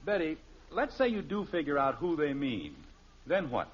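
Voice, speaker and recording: A man's voice: fairly high pitch, very animated, moderate pace, slightly rough. An actor playing an exasperated, blustering middle-aged American father in a 1950s radio sitcom, speaking emphatically. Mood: reproving. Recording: dull, noisy old radio recording.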